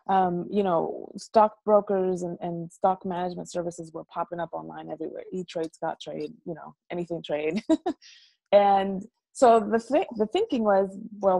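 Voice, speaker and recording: A woman from the Dominican Republic, a guest on a video call interview, telling stories in a lively, animated way and often repeating words. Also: on the low side, creaky, no room sound, rough recording. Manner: lively, animated storyteller who repeats words as she talks. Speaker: guest, business coach. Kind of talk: casual interview storytelling. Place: dorm room.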